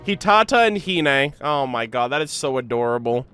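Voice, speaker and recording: Animated, slangy woman reacting, faint music. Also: extremely low pitch, normal speed. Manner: effusive, slangy, playfully emphatic. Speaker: video game reaction streamer. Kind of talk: casual excited live reaction commentary. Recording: background music.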